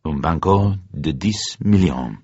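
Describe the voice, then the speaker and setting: A man's voice: extremely low pitch, expressive, takes it slowly, darkish tone, husky, heavily acted. A man playing a formal French casino croupier in a story reading, announcing the play impressively. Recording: dull, muffled, roomy radio recording.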